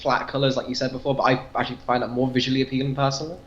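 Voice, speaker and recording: An echoey, slightly dull recording of a male podcast co-host. He chats casually through a review, offering his opinions in a conversational voice of middling pitch. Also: flat delivery, rapid-fire. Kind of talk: casual comic book review discussion. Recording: muffled, echoey room sound.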